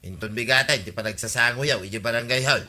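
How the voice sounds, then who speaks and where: A man's voice: medium pitch, fast, sharp tone. A man with an Ilocano accent playing a grumbling, put-upon village husband in a radio comedy drama. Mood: reproachful.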